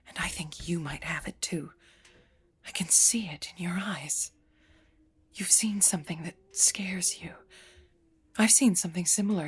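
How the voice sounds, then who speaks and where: An actress playing a grave, knowing guesthouse landlady in an audio drama, speaking in a hushed, earnest, ominous manner. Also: lowish voice, breathy edge.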